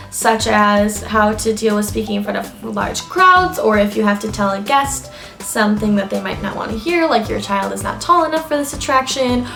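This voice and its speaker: A woman's voice: on the high side, a bit bright. A young woman chatting informally through advice from her notes, explaining and listing points as a vlogger. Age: young adult. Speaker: vlogger giving interview tips. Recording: echoey room with background music.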